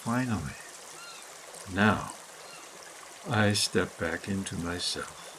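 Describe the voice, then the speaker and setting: A man's voice: low-pitched, lively intonation, a bit bright, slight background noise. Man reading meditation over trickling water. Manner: measured, deliberate phrasing with pauses and repetition. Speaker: guided meditation reader, author. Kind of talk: scripted guided meditation, poetic spoken essay.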